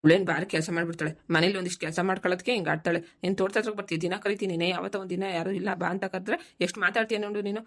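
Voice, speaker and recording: A woman voicing a quick-tempered village farmer husband who bickers and coaxes in a family cartoon, with a rural southern Karnataka accent. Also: fairly low pitch, steady tone. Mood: irritated, belittling. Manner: bickering, colloquial, nagging questions.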